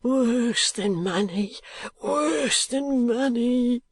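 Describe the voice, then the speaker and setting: A shrieking old miser. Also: male voice, sits high, lively intonation, a little slow, pitched above the performer's own voice. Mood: frantic, wailing. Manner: screeching, whispering in panic.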